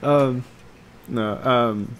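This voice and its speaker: A man co-hosting a casual podcast chat about games and films, talking in a halting, meandering way that trails off. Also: medium pitch, steady tone, a bit bright. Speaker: podcast co-host.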